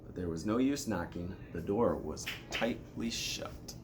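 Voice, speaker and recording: A man reading a children's storybook aloud like a school principal, with deliberate, expressive storytelling. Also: deep voice, over loud music.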